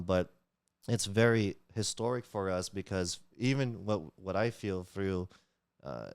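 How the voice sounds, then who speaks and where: A man with a very low, smooth and resonant voice, speaking English as a Tagalog speaker. He talks earnestly in a casual interview, restarting his phrases and filling pauses. The sound is close and dry. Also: darkish tone, no echo. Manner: earnest, many fillers and restarts, laughs while talking. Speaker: community leader, guest.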